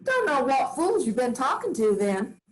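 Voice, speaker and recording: An actress reading the part of a sharp-tongued farm matriarch in a staged play reading, curt and defiant. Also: female voice, high-pitched, big pitch swings, normal speed, slight background noise, poor audio. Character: hard-bitten farm matriarch, older woman, sharp-tongued.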